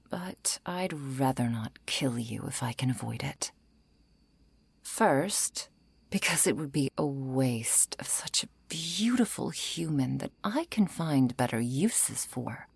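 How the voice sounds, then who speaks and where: A woman playing a seductive, menacing undead vampire in an intimate ASMR roleplay, coaxing and softly threatening, often slipping into whispers. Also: lively intonation, slow, heavily acted. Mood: persuasive, possessive. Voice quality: low, breathy, creaky.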